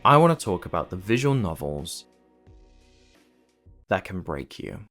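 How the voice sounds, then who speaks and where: A man's voice: low-pitched, big pitch swings, unhurried, fairly bright tone, soft background music. A man narrating his own scripted video essay in a wry, self-aware, conversational way. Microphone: voice-over.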